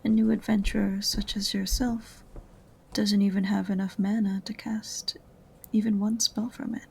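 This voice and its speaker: A woman's voice: mid-range voice, little pitch movement, moderate pace, gravelly, darkish tone, breathy edge, low-quality recording. A woman playing an aloof, sarcastic witch librarian in a fantasy audio roleplay, prim and condescending in a dry way. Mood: condescending, scolding. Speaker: voice actor in amateur audio roleplay.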